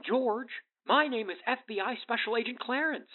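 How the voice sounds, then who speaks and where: Rambling self-promoting fed, super high voice, corny and chipper, thin narrow audio, radio drama. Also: male voice, normal speed, heavy background noise. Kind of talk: comic radio-play acting. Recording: thin, phone-like sound.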